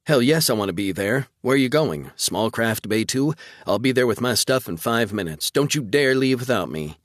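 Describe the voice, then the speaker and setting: A man's voice: medium pitch, expressive, normal speed, no echo, studio quality, pitched above the performer's own voice, close to an everyday voice. A man voicing an eager, bold young woman who works as a war reporter, in an audiobook. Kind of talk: audiobook character dialogue.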